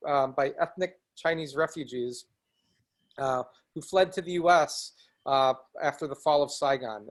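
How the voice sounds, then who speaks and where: A middle-aged man from the Chicago area, an executive director hosting a webinar over a video call, speaking earnestly and haltingly with frequent restarts. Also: fairly high pitch. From Chicago area, United States. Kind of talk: presenting in a webinar.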